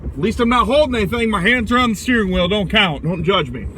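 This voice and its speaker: A man with a loud voice giving a casual, rambling sports rant while driving, blunt and opinionated, swearing now and then. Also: sits high, fast. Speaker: vlogger giving football commentary. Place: inside a moving car. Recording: clear vehicle and road noise.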